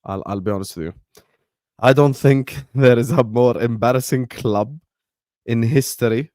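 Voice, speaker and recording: A man's voice: mid-range voice, normal speed, a little mellow, no room sound, clean studio sound. A man hosting a casual football chat, full of teasing banter and frequent laughs. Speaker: podcast host.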